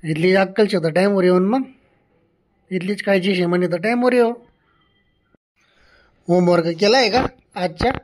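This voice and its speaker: A man's voice: high-pitched, normal speed, long pauses, close to an everyday voice. A man playing a stern, sarcastic village schoolmaster in a comedy cartoon, with a Khandeshi Ahirani accent. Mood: sarcastic scolding. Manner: sarcastic, scolding, bossy.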